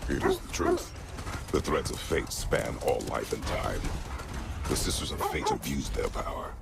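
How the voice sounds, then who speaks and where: Battle-worn god-slayer, extremely low rumble, gravelly, terse, stoic, game effects behind. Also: male voice, animated, normal speed, heavily acted. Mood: grim, resolute. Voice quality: deep, gravelly. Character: stern, battle-worn Spartan god-slayer, a grown man. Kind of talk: video game voice acting.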